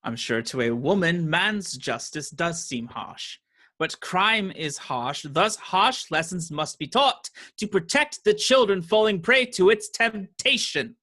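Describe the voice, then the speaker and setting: Menacing, slow-spoken tyrant sheriff. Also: male voice, sits high, expressive, sharp tone, full character voice. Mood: smug, imperious. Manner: pompous, preaching, menacing. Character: cruel, menacing male sheriff, righteous law-and-order tyrant. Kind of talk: radio play acting.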